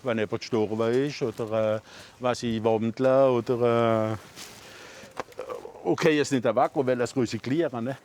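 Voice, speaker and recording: A man's voice: medium pitch, steady tone, measured pace, darkish tone, slight background noise. A man working at a charity sorting depot, explaining plainly where donations come from. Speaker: charity shop worker.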